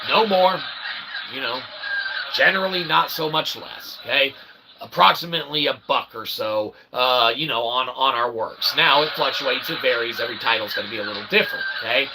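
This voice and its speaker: A man's voice: mid-range voice, moderate pace, background noise. A man giving a rambling comedic monologue as a vlogger, with convoluted, mock-intellectual phrasing and frequent tags like okay and eh. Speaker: vlogger, self-published author.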